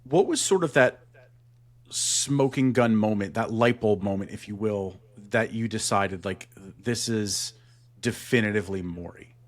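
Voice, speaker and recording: A man's voice: lowish voice, a little slow. A man co-hosting a podcast who thinks aloud and asks long speculative questions, hedging as he goes. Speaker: podcast co-host. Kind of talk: casual podcast conversation.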